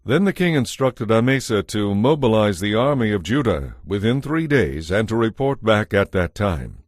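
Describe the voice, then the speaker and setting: A man reads scripture as a narrator, formal and measured. His voice sits fairly low, and the sound is dry and close. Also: sing-song, dry recording.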